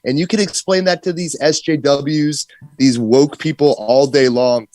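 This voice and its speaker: A man's voice: on the high side, steady tone, unhurried, darkish tone, dry recording, rough recording. A man co-hosting a livestream, talking casually and giving political opinions. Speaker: livestream co-host.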